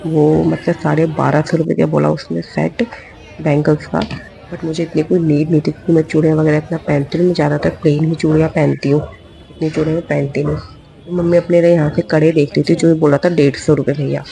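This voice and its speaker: A hoarse woman vlogger chatting casually in a voice-over about a shopping trip, repeating phrases for emphasis. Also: low-pitched, flat delivery, fairly quick, dark tone, low-quality recording.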